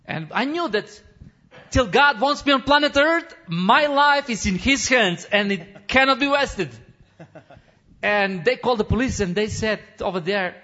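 A man who is a missionary pastor, preaching an impassioned testimony sermon in non-native English, building to shouts, with frequent restarts. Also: high voice, lively intonation, unhurried. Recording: dull lo-fi recording.

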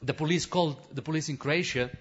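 A man who is a missionary pastor, preaching an impassioned testimony sermon in non-native English, building to shouts, with frequent restarts. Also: a little high, quick pace, breathy edge. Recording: dull lo-fi recording.

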